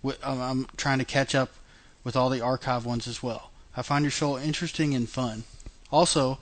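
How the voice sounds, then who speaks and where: A man's voice: medium pitch, fairly even intonation, moderate pace. Chatty male podcast host. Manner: chatty, laughs often, many fillers and restarts. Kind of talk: casual chat.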